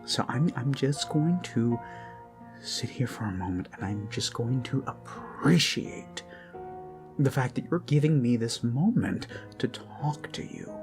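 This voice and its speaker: A performer of unclear sex improvising an ASMR roleplay as an obsessed kidnapper who dotes menacingly on a captive, halting and slipping into whispers. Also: mid-range voice. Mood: soft menace. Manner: slow, halting, lapsing into whispers and giggles. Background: eerie background music.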